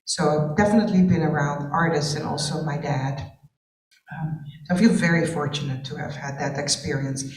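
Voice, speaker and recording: A woman's voice: low voice, normal speed. A woman from Curacao with Dutch as her first language, speaking reflectively on a panel, searching for words with restarts. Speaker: panel participant. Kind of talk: panel discussion. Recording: roomy sound from far off the mic.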